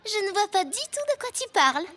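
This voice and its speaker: A woman's voice: very high-pitched, sing-song, fairly quick. A woman voicing a scheming, snide schoolgirl in a French-dubbed children's cartoon, sly, haughty and mock-innocent. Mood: smug.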